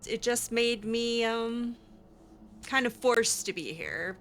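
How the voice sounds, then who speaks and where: A woman's voice: on the high side, slow pace, a bit bright. A woman voicing a cheeky, skeptical female mage in casual, teasing improvised roleplay of a fantasy game. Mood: guarded, casual.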